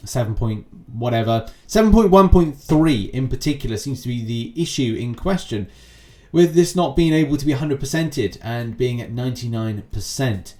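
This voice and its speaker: A man's voice: mid-range voice, animated, normal speed, mellow. A man giving casual, rambling gaming news commentary as a channel host, with chatty asides.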